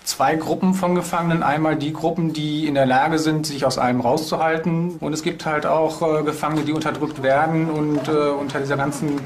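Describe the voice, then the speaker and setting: A man in his forties explains how things work inside a prison, pausing on fillers as he goes. His voice sits a bit high, and faint noise hangs behind him in the corridor. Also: fairly even intonation. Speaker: prison official. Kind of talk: on-camera interview answers.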